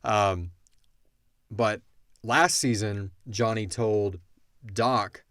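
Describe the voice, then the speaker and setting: Guy with a low voice on a podcast, casually retelling a story and doing the lines, very dry sound. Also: extremely slow, dry recording. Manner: animated retelling, acts out characters' lines. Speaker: podcast host and audio drama creator answering listener questions.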